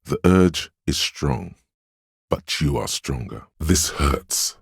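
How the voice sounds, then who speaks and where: Very deep gravelly inner voice of willpower. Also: male voice, expressive, takes it slowly, dry recording, studio quality, exaggerated voice. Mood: steadfast encouragement. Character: inner voice of willpower, steadfast, stern yet encouraging mentor. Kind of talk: scripted game narration, second-person counsel.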